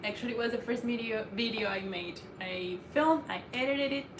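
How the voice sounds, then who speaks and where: A woman's voice: on the high side, fairly quick. A young woman with a non-native accent, chatting shyly and laughing often as co-host of a livestream. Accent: non-native English accent. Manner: laughs often, shy and brief. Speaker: co-host, partner of the host. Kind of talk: casual livestream chat. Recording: echoey room with noticeable noise.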